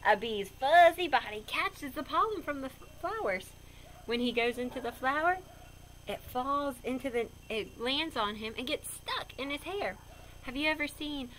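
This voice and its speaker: A woman's voice: sits high, normal speed, a bit bright, a little hiss or hum, poor audio. A woman reading a picture book aloud to children, expressively, with simple explanatory asides. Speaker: read-aloud storyteller for children.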